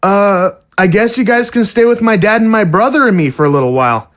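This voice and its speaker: A casual, frank undead boy, played by a man in an audio drama. His voice is very high for a male, with an offhand, youthful delivery. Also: animated, a little fast, a bit bright. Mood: uncertain, casual.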